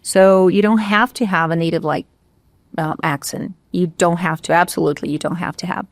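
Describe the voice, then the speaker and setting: A woman's voice: on the low side, a little fast, no room sound. A woman from Brazil with a light Brazilian Portuguese accent, an English teacher talking animatedly and emphatically in a casual podcast chat. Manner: animated, emphatic, laughs often.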